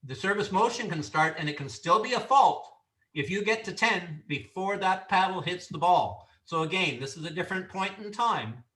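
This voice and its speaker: A man from Canada lecturing referee trainees, loud and sure of himself, his voice bright and a touch high. He sits back from the mic in an echoey room, so the sound is roomy and distant. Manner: loud, confident, instructive delivery. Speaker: referee instructor.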